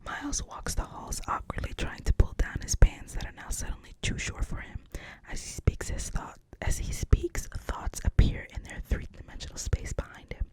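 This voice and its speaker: A narrator reading a screenplay's action lines in a steady whisper. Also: normal speed, low-quality recording. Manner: soft, even whispering throughout.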